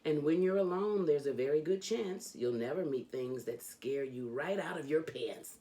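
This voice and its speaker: A woman reading a children's storybook aloud as host, with theatrical, emphatic delivery and drawn-out phrases. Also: deep voice, animated, dark tone, low-quality recording.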